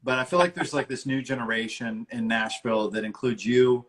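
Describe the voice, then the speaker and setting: A man's voice: mid-range voice, a little fast, fairly bright tone. A middle-aged man hosting a casual live interview over a video call, talking in an informal, chummy way. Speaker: interview show host.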